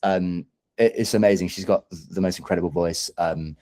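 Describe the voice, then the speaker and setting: A man's voice: low-pitched, fairly even intonation, normal speed, dark tone. A man who is a singer-songwriter guest in a casual interview, speaking in a hesitant, thoughtful, self-deprecating way.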